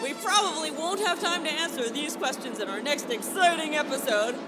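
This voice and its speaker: A woman's voice: sits high, a little fast. A woman narrating emphatically and mock-dramatically in the style of a 1940s radio serial announcer. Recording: live convention stage, loud music and crowd.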